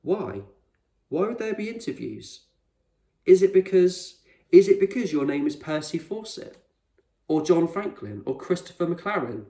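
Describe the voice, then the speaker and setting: A man's voice: on the high side, big pitch swings, measured pace, mellow, voice pushed up. A man voicing an anxious, stubborn young boy in a storybook, urgent, questioning and argumentative. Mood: insistent, excited. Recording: roomy echo, distant microphone.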